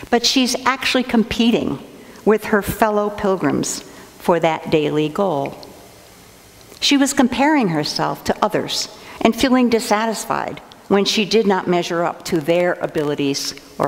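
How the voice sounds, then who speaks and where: An older woman, an assistant pastor, preaching a measured sermon from a church lectern. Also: mid-range voice, creaky. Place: church sanctuary, indoors. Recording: long reverb of a church sanctuary.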